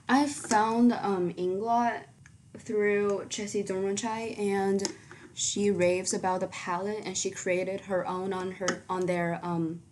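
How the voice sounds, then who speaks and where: Teenage girl, casual makeup vlog, slow unhurried pace, faint background hum, bedroom webcam. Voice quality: fairly low voice for her. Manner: chatty, rambling, many ums and likes. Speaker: beauty vlogger. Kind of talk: casual makeup tutorial chat. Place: small bedroom indoors.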